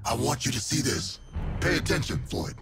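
An actor playing a menacing, corrupted superhero enforcer in a video game, taunting and commanding. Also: male voice, mid-range voice, big pitch swings, normal speed, over loud music, close to an everyday voice. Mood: smug menace. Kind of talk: dramatic video game acting. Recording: loud game score behind.

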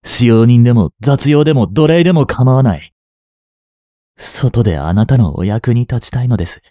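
A young man in his twenties playing a treacherous, smooth-tongued captive prince and spy in a Japanese audio drama, with courteous, theatrical speech that can turn mocking. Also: medium pitch, animated, mellow, no echo, clean studio sound, natural voice. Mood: earnest pleading. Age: 20s. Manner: slow, theatrical, courteous speech slipping into taunts. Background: background music.

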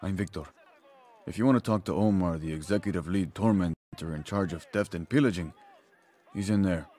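Weary, deep-voiced villager. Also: male voice, a little fast, warm tone. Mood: resigned, sardonic. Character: weary, bitter young villager, downtrodden and resigned. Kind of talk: video game cutscene acting.

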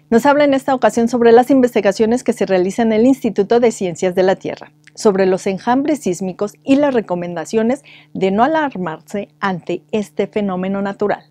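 A woman's voice: medium pitch, moderate pace, dark tone, no echo, soft background music. A woman news anchor presenting a scripted bulletin in a formal, composed manner. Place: studio set. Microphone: lapel.

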